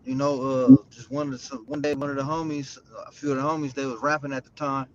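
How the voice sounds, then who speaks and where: A man's voice: fairly high pitch, quick pace, gravelly. Man, from Los Angeles, rapper guest, laid-back slangy rambling, casual interview, muffled audio. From South Central Los Angeles. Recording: dull lo-fi audio with faint noise.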